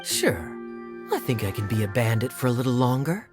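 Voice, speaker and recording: A man's voice: medium pitch, sing-song, a little fast, music in the background, natural voice. Wry, suave young man, voiced by an actor. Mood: obliging, playful. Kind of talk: animated game cutscene acting.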